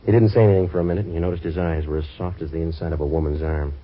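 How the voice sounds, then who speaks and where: An actor playing a wisecracking waterfront boat-renter turned private eye, narrating in hard-boiled first person. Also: male voice, low-pitched, fairly even intonation, very fast. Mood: wry. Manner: dry, sardonic wisecracks. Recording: dull old radio recording with faint hiss.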